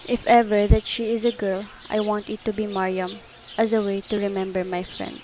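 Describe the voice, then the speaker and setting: A woman's voice: medium pitch, little pitch movement, moderate pace, lightly acted. A woman voicing a determined young wife and mother in a student radio play, earnest and urgent. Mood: tender. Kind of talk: amateur radio play acting.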